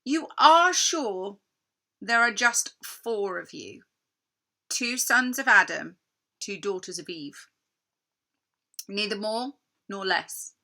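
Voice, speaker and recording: Woman acting sugary, imperious witch queen, sharp-bright. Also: mid-range voice, lively intonation, full character voice. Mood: probing. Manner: sugary, drawn-out, coaxing. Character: coaxing, imperious witch queen feigning kindness.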